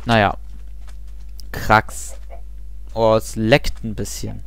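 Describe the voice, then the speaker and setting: A man's voice: on the low side, little pitch movement, drawn-out words, creaky, fairly bright tone, smooth. A young man chatting casually and rambling with self-mocking asides while he plays a game. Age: young adult. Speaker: let's play host. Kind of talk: casual gameplay commentary.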